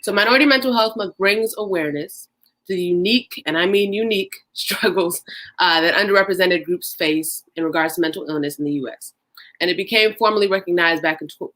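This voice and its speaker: A bright, clear-toned woman hosts a casual livestreamed podcast. She talks in an upbeat, chatty way and stretches out words for emphasis. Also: fairly low pitch, a little fast, rough recording. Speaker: podcast host.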